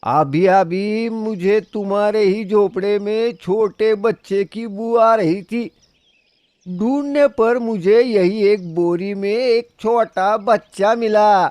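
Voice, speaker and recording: A man's voice: sits high, darkish tone. A man playing a sly, brave woodcutter posing as a sorcerer in a children's cartoon, with exaggerated, wheedling delivery. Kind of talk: children's fairy-tale cartoon acting.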